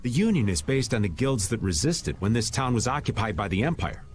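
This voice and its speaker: A man's voice: lowish voice, sing-song, a little fast, a little hiss or hum. A man voicing a worldly, knowledgeable adventurer in a video game, explaining things in an easygoing way. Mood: casual, informative. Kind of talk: video game voice acting, exposition.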